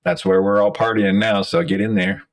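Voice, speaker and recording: A man hosting a community video call, relaxed and joking as he wraps up the meeting. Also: lowish voice, normal speed, gravelly, distant mic. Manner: relaxed, joking, upbeat sign-off. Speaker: host. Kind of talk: casual chat, wrapping up a community call.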